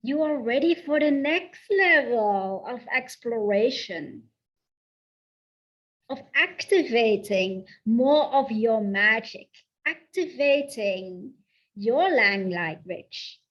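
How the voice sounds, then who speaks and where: A woman from the south of the Netherlands with a Dutch accent, speaking slowly and softly as a spiritual coach in a channeled guided talk, repeating her affirmations. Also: fairly high pitch, animated, muffled recording. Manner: slow, soft, affirming, repeats phrases.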